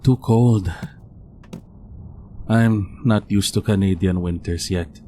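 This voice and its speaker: A man plays an uneasy priest from the Philippines in an audio drama. He speaks slowly in a dark, muted voice, hesitant and haunted, with faint noise behind. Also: sits low. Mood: shy, flustered. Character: soft, uneasy Filipino male priest, reflective and haunted.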